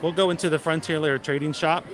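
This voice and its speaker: A man's voice: on the high side, steady tone, fast talker. A man hosting a casual livestream, chatting and joking with viewers as he walks an outdoor theme park; he stumbles and repeats words now and then. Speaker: livestream host. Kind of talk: casual live-stream chat with viewers.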